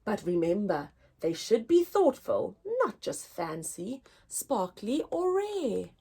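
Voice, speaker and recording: A woman voicing a small, excitable, cheerful male Christmas elf for a children's storybook, in an exclamatory, teaching manner. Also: medium pitch, slow pace, vocal fry, husky, heavily acted. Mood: eager, instructive.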